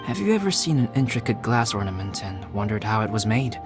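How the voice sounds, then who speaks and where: An actor playing a calm, scholarly young male alchemist in a game, speaking in measured, formal, explanatory phrases. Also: lowish voice. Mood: calm, instructive. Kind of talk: scripted game dialogue, explanatory. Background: music.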